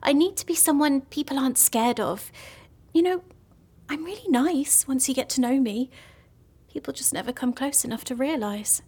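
A woman's voice: high voice, moderate pace, a little mellow, lightly acted. An actress playing a lonely, gloomy young woman in an audio drama comedy, telling morbid stories earnestly and hesitantly. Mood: lonely, pleading.

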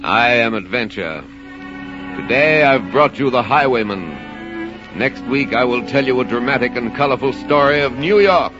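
A man plays the spirit of adventure as a grand storytelling host. His mid-pitched voice announces in 1940s radio fashion, on a dull old recording with music behind. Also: lively intonation, moderate pace, close to an everyday voice. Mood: grand, announcing.

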